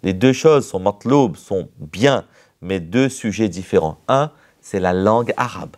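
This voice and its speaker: A man's voice: fairly low pitch, expressive, a little slow, darkish tone. A man teaching a religious lecture in French, laying out points in order, repeating key terms and weaving in Arabic phrases. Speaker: Islamic teacher.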